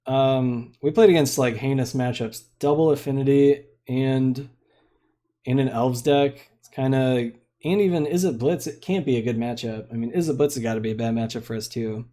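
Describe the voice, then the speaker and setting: A man's voice: mid-range voice, mellow. A young man giving casual, rambling commentary on a card game he is streaming. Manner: laid-back, rambling, lots of fillers.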